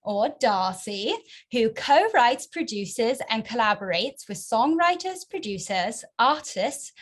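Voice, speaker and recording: A woman hosts a panel and reads out the guest introductions. She speaks in a measured, formal way. Her voice sits a little high. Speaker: moderator. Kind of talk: reading introductions.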